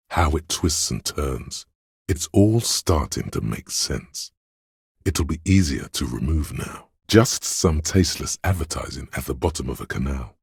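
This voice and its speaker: Grave analytical detective voice for a game, gravelly, super dry close sound, noir slang delivery, deliberate. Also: male voice, extremely low pitch, dry recording, exaggerated voice. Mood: brooding, intent. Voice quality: gravelly, husky. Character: analytical inner detective voice of reason, grave and hard-boiled. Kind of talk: scripted game narration, hard-boiled noir.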